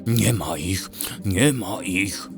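A man voicing a gluttonous, fretful little male fox in a children's bedtime story, whimpering slowly and repeating himself. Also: deep voice, over music, full character voice. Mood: panicked.

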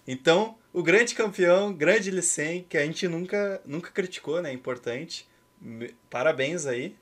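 A man's voice: fairly high pitch, a little slow. A man in his thirties with a Brazilian accent, chatting casually and ironically as he hosts and commentates a game stream. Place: indoor room at home.

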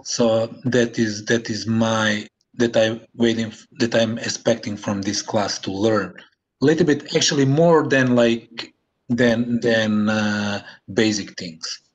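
A man's voice: fairly low pitch, steady tone, takes it slowly, husky. A young man from Serbia with a Serbian accent, introducing himself as a student on a video call, hesitant with many fillers. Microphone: video call webcam.

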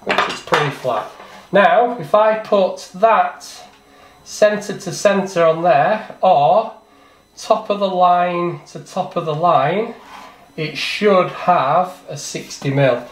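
A man's voice: high voice, slow, slight background noise. A middle-aged man, a plumbing instructor, talks through a hands-on demonstration step by step in a plain, practical, self-deprecating way. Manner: plain, practical, self-deprecating, thinks aloud while working. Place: small training workshop, indoors. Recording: echoey workshop with faint clatter.

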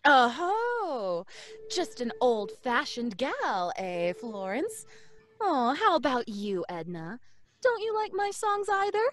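A sassy young joker from an old radio play. Her high voice swoops playfully and takes its time over each brash wisecrack. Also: big pitch swings, slow pace, full character voice. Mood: teasing. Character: brash, wisecracking fun-loving young woman, Kay.

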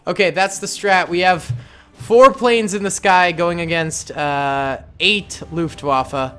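A man's voice: high-pitched, slow, fairly bright tone, clean tone, faint music behind. A young man casually commenting on his gameplay in a playful, jokey way, with slang. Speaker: gaming YouTuber.

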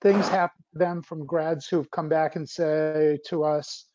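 A man's voice: on the high side, steady tone, unhurried, noisy background. Man on a dull video call. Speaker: school board chair. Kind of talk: informal remarks in an online meeting.

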